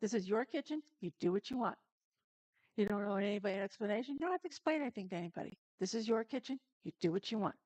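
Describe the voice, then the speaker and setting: A woman's voice: medium pitch, animated, racing, a little mellow, no echo. A woman hosting a casual live-stream cooking demo, chatting straight to her viewers and laughing easily.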